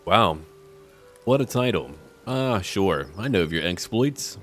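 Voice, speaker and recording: A man's voice: fairly low pitch, animated, measured pace, fairly bright tone. A man hosting a roleplay video game playthrough, commenting and talking to the characters in first person. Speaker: gaming video host. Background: game music.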